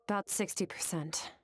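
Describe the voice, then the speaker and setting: A woman voicing a stoic, self-critical young swordswoman in a video game, terse, cool and matter-of-fact. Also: fairly low pitch. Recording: music behind the voice.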